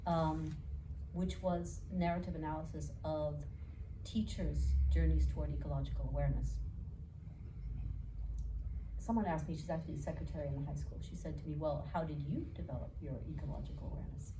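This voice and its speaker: A woman's voice: sits low, fairly even intonation, fairly quick. A woman from New York City with a New York accent, an educator and author thinking aloud and telling stories with frequent fillers and restarts in a conversational interview. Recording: distant mic with clear background noise.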